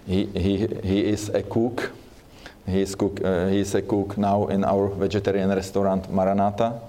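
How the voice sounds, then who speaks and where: A man with a Czech accent giving a church seminar talk, speaking haltingly with many fillers and restarts. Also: sits low, little pitch movement, mellow. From Czech Republic.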